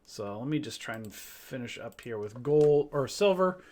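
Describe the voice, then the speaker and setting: A man walking viewers through stock charts in a loose, rambling live recap, tired and losing his voice, often clearing his throat. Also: medium pitch, lively intonation, moderate pace, warm tone. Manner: loose, rambling, many fillers and restarts, addresses viewers as guys. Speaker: stock trading educator. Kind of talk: casual live chart walkthrough.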